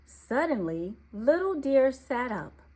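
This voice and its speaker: A woman narrating a children's storybook aloud in an expressive, deliberate storytelling manner. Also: high-pitched, slightly rough.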